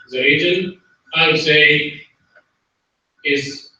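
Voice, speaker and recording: A man speaks as an economist at an academic conference, measured and earnest. His voice is bright and a little high. It comes through a very echoey hall, far from the mic, with a dull, muffled sound. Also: a little hiss or hum. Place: university conference room.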